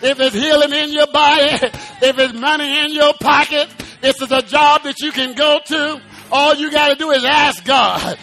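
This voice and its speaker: A man preaching a sermon in church, shouting and half-singing rhythmic lines and calling out repeatedly to the congregation. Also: extremely high pitch, fairly even intonation, moderate pace, soft background music. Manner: repetitive call-outs to the congregation.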